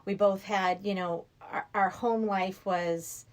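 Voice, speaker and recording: Chatty middle-aged woman, medium pitch. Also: steady tone, slow. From Massachusetts, USA. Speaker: podcast guest. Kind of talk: casual chat.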